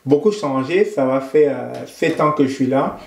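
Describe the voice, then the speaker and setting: A man from Cameroon, a guest in an interview, speaking in a thoughtful, measured way with frequent hesitations. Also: medium pitch, expressive, vocal fry, darkish tone, roomy sound. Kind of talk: conversational interview.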